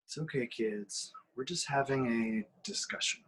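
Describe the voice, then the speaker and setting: Husky earnest family man acted by a man. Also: mid-range voice, expressive, measured pace, a bit bright, low-quality recording. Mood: reassuring. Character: weary, earnest middle-aged father and insurance clerk. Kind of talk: amateur script table read.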